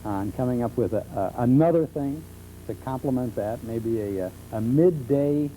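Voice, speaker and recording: A middle-aged man being interviewed as a talk show guest, explaining things conversationally with hesitant pauses. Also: mid-range voice, dark tone. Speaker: talk show guest, old-time radio enthusiast. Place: television studio. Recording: muffled 1970s television tape with hum.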